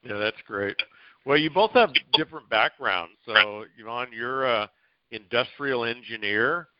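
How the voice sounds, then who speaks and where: A man's voice: medium pitch, animated, measured pace, no echo. Man hosting on a dry, muffled call. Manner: encouraging, coaching tone addressed to listeners. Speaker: podcast host, interviewer. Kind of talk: casual interview chat. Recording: dry, dull lo-fi call audio.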